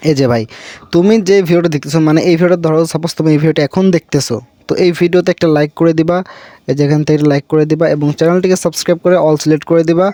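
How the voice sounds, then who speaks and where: Fast-talking Bangladeshi man, informal tutorial. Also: on the high side, fairly even intonation, warm tone, no echo. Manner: repetitive, addresses viewers as brother, frequent check-in tags. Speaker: tutorial host. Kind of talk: informal app tutorial, unscripted screen walkthrough.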